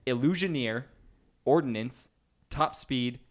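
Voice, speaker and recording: A man's voice: mid-range voice, slow. A man hosting a podcast in casual, jokey, slangy banter with friends. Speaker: podcast host, quizmaster.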